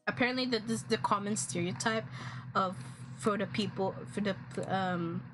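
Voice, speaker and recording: A woman's voice: medium pitch, a bit bright, a little hiss or hum, rough recording. A young woman with a Filipino accent, whose first language is a regional Philippine one, chatting casually on a drawing stream with many fillers and restarts. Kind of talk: casual chat while drawing.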